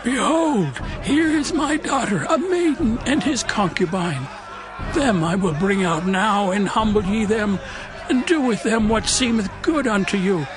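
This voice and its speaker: A man's voice: high voice, lively intonation, measured pace, music in the background. A man playing a hospitable, protective old man in a dramatized scripture reading, speaking archaic English in an earnest, imploring way. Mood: desperate pleading.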